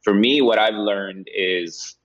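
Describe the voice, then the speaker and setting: A man's voice: lowish voice, takes it slowly, fairly bright tone. A man being interviewed as a podcast guest, talking reflectively and conversationally, often checking in with a "right?". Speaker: podcast guest, real estate agent. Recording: dull, lo-fi sound.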